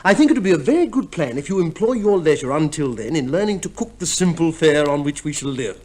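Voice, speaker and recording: An actor playing a vain, pompous, cheerful husband back from war in a 1950s radio comedy, speaking with theatrical mock courtesy. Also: male voice, fairly high pitch, very animated, fast talker, darkish tone. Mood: grandly persuasive. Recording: noisy vintage radio play recording.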